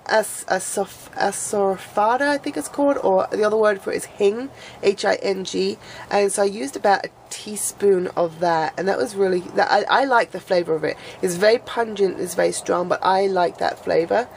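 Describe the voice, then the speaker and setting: A woman's voice: medium pitch, normal speed, creaky, a little mellow, slight background noise. A woman vlogging in casual chat, her voice a bit nasal and sniffly from a cold, rambling and restarting her sentences.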